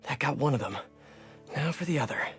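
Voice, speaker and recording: An actor playing a young, wisecracking, earnest American superhero in a video game, tossing out quippy asides. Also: male voice, mid-range voice, lively intonation, a little fast, fairly bright tone, soft background music. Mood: focused, dry. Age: young adult. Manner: quippy, self-talking.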